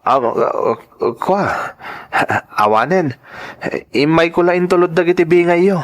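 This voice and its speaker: A man's voice: fairly high pitch, animated, unhurried. A man playing an eager, bashful young farm lad in a radio-style drama, hesitant and protesting, with an Ilocano accent.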